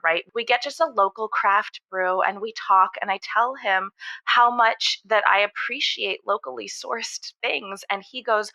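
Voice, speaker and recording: A woman's voice: mid-range voice, gravelly, bright, forceful tone, low-quality recording. A woman playing an overwrought, melodramatic betrayed girlfriend on a comedy call-in show, repeating herself for emphasis. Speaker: caller.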